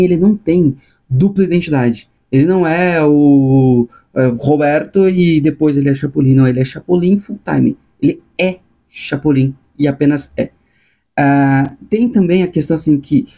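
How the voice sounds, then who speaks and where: A man's voice: fairly high pitch, dark tone. A man with a Brazilian Portuguese accent telling stories casually in a personal vlog, informal and colloquial, thinking aloud as he goes. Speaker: animation supervisor.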